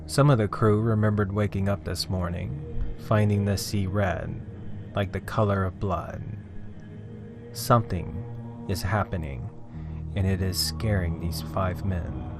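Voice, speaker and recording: A man's voice: low-pitched, measured pace. A man narrating a scripted horror story in a suspenseful, theatrical manner, drawing out key phrases for emphasis. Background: music under the voice.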